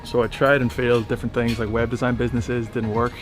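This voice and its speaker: A young man, telling his story in a relaxed, chatty way. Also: medium pitch, fast talker, over music. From Ireland. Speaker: agency owner. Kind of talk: casual storytelling.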